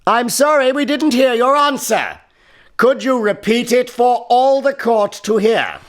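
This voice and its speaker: A man's voice: extremely high pitch, unhurried, a bit bright. An actor playing a smug, domineering courtroom prosecutor in a radio satire, sarcastic and pompous as he badgers. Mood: mocking, condescending. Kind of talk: dramatic radio satire acting.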